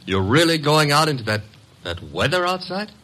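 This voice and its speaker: A man's voice: a little high, big pitch swings, normal speed, pushed and bright. An actor playing a breezy, girl-crazy detective's assistant in an old-time radio drama, wry, cocky and teasing. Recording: noisy old radio recording.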